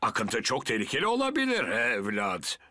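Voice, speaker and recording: A man's voice: medium pitch, wildly swooping pitch, moderate pace, pushed and bright, dry recording, clean studio sound. A man voicing a stern, middle-aged fire station chief who speaks with an authoritative, lecturing manner. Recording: music bed under dialogue.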